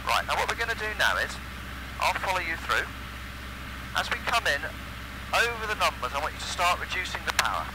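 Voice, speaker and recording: A British man instructing a student pilot in a calm, encouraging, step-by-step way through a headset in a light aircraft. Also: sits high, brisk, pauses often. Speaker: flight instructor. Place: small light aircraft cockpit in flight. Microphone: aviation headset. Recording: loud engine noise over aircraft intercom. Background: constant loud aircraft engine drone.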